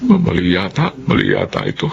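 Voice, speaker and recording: A man's voice: high voice, wildly swooping pitch, brisk, gravelly, exaggerated voice. An actor playing a practical middle-aged husband and father in a Filipino radio drama. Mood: puzzled. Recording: dull, faintly noisy radio audio.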